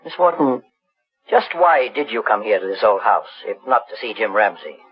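An actor playing a calm, authoritative gentleman detective in a measured, formal 1940s radio mystery style. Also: on the low side, husky, exaggerated voice. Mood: probing. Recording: muffled, dull 1940s radio recording.

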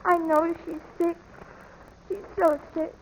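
A woman playing a sick young boy in an old radio drama, speaking in a halting, plaintive, pleading way. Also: very high-pitched, flat delivery, a little hiss or hum, heavily acted, low-quality recording. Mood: weak, sorrowful. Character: sick, feverish young boy grieving for his filly. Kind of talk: 1940s radio drama acting. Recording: old radio broadcast with music underneath. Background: music.

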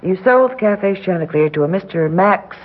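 An actress playing an earnest, persistent American welfare investigator, polite but stubborn, in a 1960s radio drama. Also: female voice, mid-range voice, expressive, normal speed, narrow, tinny audio, natural voice. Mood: polite, apologetic.

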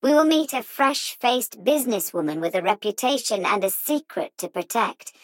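A woman reading as an insinuating true-crime podcast host, in deliberate, dramatic scripted audiobook narration. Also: mid-range voice, dry recording, natural voice, poor audio. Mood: teasing intrigue.

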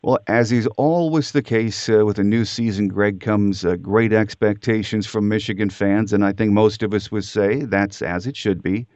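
A man's voice: lowish voice, normal speed, few pauses, a little mellow, no room sound, lo-fi sound. A man hosting a sports podcast, presenting scripted news and introducing interviews. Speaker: podcast host.

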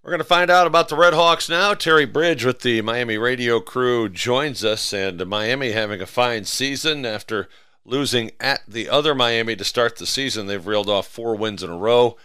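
A man's voice: medium pitch, normal speed, bright, forceful tone, clear, resonant tone, no echo. A man hosting a sports radio show, talking conversationally and putting questions to his guests. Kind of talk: conversational sports interview and commentary.

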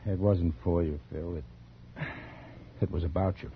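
An actor playing an earnest, troubled police detective, halting and insistent, in a 1940s radio thriller. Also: male voice, low-pitched, steady tone. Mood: troubled, pressing. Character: reluctant police detective arresting his friend, earnest, troubled. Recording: dull, noisy 1940s radio recording.

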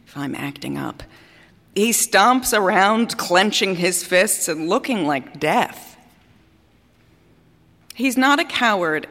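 In a reverberant hall, a vain, capricious female opera singer delivers a theatrical monologue. Her voice is on the low side and swoops widely in pitch. She speaks grandly and deliberately, pausing for effect, scornful and adoring by turns. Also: fairly bright tone. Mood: grudging admiration. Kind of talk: theatrical dramatic monologue before an audience. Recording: reverberant hall stage recording.

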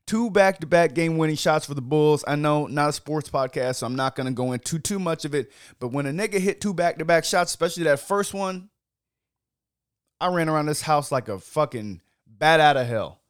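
A young man hosting a casual wine-tasting podcast, hoarse from yelling, talking loosely with lots of fillers and swearing. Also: fairly high pitch, animated, fairly quick, no echo, clean studio sound. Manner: loose and chatty, many "like" fillers, swears freely. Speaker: podcast host.

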